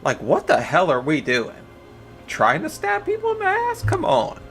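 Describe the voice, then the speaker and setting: Bright, animated man reacting live. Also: high-pitched, moderate pace, soft background music. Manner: blunt, exclamatory, foul-mouthed. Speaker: reaction streamer. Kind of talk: casual live reaction commentary.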